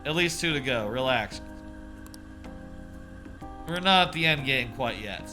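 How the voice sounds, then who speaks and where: A man's voice: medium pitch, animated, a little slow, sharp tone. A man from the United States giving casual, sweary video game commentary as a streamer, often repeating himself. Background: game music.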